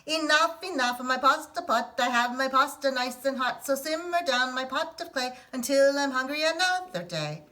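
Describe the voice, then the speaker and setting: A woman's voice: high-pitched, expressive, pushed and bright, pitched above the performer's own voice. A woman doing an old grandma witch with an Italian lilt. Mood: chanting. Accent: put-on Italian. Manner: slow, emphatic commands, sing-song chants. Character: kindly old grandma witch, village healer.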